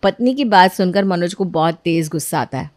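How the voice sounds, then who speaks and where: A woman narrating a scripted family story in an even storytelling manner. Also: on the low side, fairly quick, dry recording.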